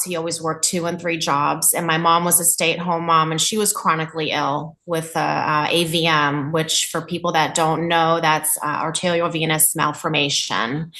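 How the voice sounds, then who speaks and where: A woman from New England, a nurse and podcast guest, telling her life story in an earnest, open way with a loud voice. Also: low voice.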